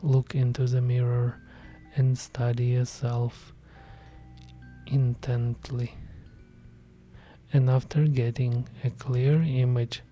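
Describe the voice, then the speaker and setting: A man guiding a meditation in a soft, hushed, whispery voice. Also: mid-range voice, level tone, slow, long pauses, soft background music. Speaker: meditation guide. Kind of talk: guided meditation narration.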